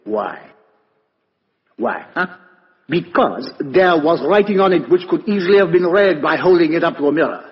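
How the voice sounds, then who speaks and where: A muffled vintage radio recording with long hall reverb carries a man acting a shrewd male foreign detective. His pitch swings widely as he reasons deliberately and courteously, building drama with rhetorical questions. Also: expressive, natural voice. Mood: insistent, reasoning. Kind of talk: 1950s radio play acting.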